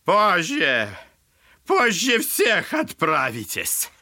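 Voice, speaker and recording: An actor playing a spiteful old buffoon who needles others with theatrical mockery in a literary audio drama. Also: male voice, high voice, very slow, heavily acted. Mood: gleefully taunting. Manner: taunting, theatrical, animated.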